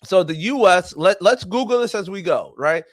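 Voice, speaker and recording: A man's voice: sits high, animated, moderate pace, no echo. A man, hosting a casual livestream, rambling and greeting his chat as he goes. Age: 40s. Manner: rambling, talks to chat, self-correcting. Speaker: livestream host.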